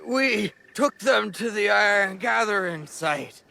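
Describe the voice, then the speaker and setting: A rough game thug with a heavily creaking, gravelly voice. He is a drunken brute with a short temper, halting and slow in his speech, with a hard forceful edge. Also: high voice, lively intonation, full character voice. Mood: cowed, halting. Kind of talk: video game cutscene acting.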